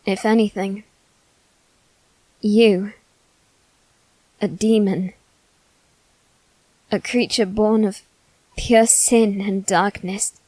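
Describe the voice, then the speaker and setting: A woman voicing a melancholy teenage noble boy, resigned to death, in a soft, deliberate ASMR roleplay monologue with dramatic pauses. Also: medium pitch, fairly even intonation, dry recording, poor audio. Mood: bitter, tender.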